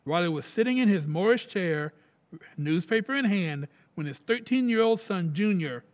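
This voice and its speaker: A man's voice: high voice, expressive, moderate pace, a little hiss or hum. A man announcing in old-time radio style, declamatory and salesmanlike. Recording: dull sound under music. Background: music.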